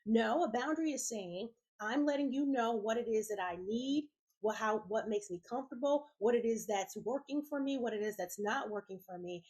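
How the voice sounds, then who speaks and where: A middle-aged woman hosting a self-care podcast, sounding raspy and congested from a head cold, speaking in an encouraging way straight to her listeners. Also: on the high side, moderate pace, few pauses, a little mellow. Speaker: podcast host. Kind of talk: motivational self-care talk.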